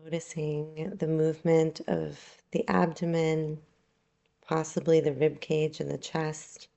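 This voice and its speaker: A woman leading a guided relaxation meditation as a yoga nidra guide, calm, soothing and unhurried, with long pauses. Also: low voice, vocal fry, lo-fi sound.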